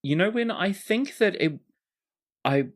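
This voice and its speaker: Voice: medium pitch, expressive, unhurried. A game master narrating a tabletop roleplaying game in a casual way, with some swearing.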